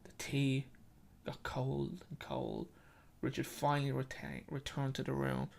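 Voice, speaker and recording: A person with an Irish accent narrating a short story, with a halting, self-correcting delivery. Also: medium pitch, sing-song, gravelly.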